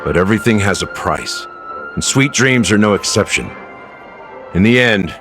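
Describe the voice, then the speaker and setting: A low, weathered game character voiced by a man, a sly and grave officer who guides and tells stories. He speaks deliberately and with drama. Music plays clearly behind him. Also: lightly acted. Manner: measured, dramatic, deliberate storytelling delivery. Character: world-weary male officer, sly, grave storyteller guide. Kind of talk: video game story dialogue. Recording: studio voice over game music. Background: background music.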